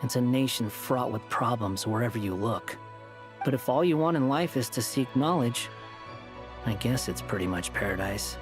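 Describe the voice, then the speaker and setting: Guy voicing a serious scholar type in a game, blunt n matter-of-fact, mid pitch, music going behind. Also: moderate pace, lightly acted. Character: blunt, pragmatic scholarly traveler, serious and guarded. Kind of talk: dramatic game voice acting.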